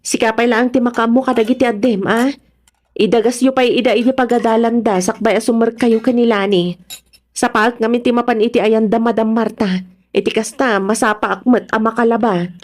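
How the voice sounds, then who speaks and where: A woman's voice: a little high, fairly even intonation, fairly quick, a little mellow, natural voice. A woman with a Filipino accent playing a hardworking, worried wife and mother in a radio drama. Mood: caring, instructing.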